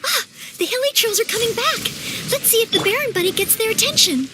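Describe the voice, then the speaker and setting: A woman's voice: very high-pitched, lively intonation, brisk, heavy background noise. A woman voicing an earnest, polite, self-doubting young aspiring knight in a video game, eager to help. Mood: anxious, alarmed. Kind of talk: video game character voice acting.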